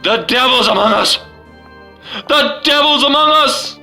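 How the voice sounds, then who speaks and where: A man's voice: very high voice, unhurried, vocal fry, bright, forceful tone, soft background music, voice pushed up, heavily acted. A man voicing a frightened colonial midwife in a storybook reading, urgent and fretful, with old-fashioned speech. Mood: shrieking alarm. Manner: stammering, breathless alarm in old-fashioned speech.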